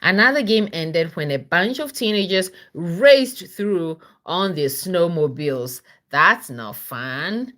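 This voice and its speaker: Slow, animated woman reading to a child. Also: lowish voice. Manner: checks in with questions to the child. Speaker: mother reading aloud to child. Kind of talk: read-aloud storytelling with simple explanations.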